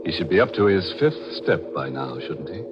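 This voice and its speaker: An old, muffled radio recording of a ghoulish horror host. He speaks deep and droll, savouring dark jokes with mock sympathy. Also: big pitch swings, normal speed, loud music behind the voice. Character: ghoulish, gleefully wry horror show host. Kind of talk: 1940s radio acting. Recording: dull vintage radio recording.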